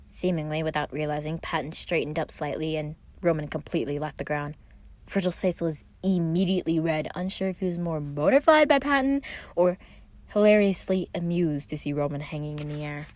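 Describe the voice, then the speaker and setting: A woman reading fan fiction aloud as an expressive scripted story narration. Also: deep voice, brisk.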